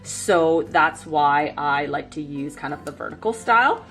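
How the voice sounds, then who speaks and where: A woman's voice: low-pitched, expressive, unhurried, fairly bright tone, faint music behind. A woman in her thirties chatting casually about her planner, sniffly from a cold and rambling with lots of fillers. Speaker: planner vlogger. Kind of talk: casual chat while planning. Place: home desk by a bright window.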